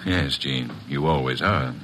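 An actor playing a suave club owner in a radio drama, speaking formally and precisely with smooth sardonic politeness. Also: male voice, rumbling low, unhurried, a bit bright, full character voice. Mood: suave, controlled. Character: suave, formal male club co-owner hosting a card game. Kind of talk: 1950s radio detective drama acting. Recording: noisy old radio recording.

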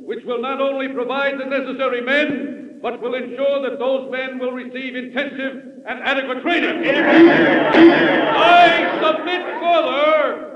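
An actor playing an eager, loyal senator in an old-time radio drama. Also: male voice, extremely high pitch, a bit bright. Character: eager, loyal male American senator, a backroom ally. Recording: echoey, distant, muffled old radio recording.

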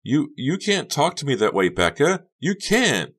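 A man's voice: lowish voice, sing-song, moderate pace, a bit bright, slightly rough, voice pushed up, heavily acted. A man voicing a bewildered, wounded teenage girl in an audiobook, innocent, plaintive and protesting. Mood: wounded, angry. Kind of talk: audiobook character dialogue.

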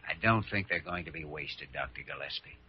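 An actor playing an earnest, confident hospital doctor with dry wit, explaining things in a measured way in an old radio drama. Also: male voice, extremely low pitch, slight background noise. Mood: amused, firm. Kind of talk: 1940s-50s radio drama acting. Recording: dull vintage radio recording.

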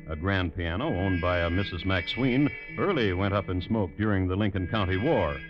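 A man narrating a vintage radio crime show in a dry, wry, formal storytelling manner. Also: fairly low pitch. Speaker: host and narrator of crime drama. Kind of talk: 1950s radio scripted storytelling. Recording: dull old radio recording with music.

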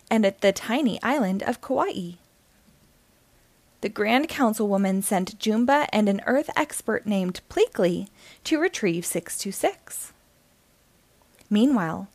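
A woman narrates a children's story in a fairly high voice with very lively, swooping intonation. She reads expressively, as a storyteller for young listeners, and the sound is dry and close. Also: no echo. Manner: animated, acting out dialogue. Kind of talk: expressive read-aloud storytelling.

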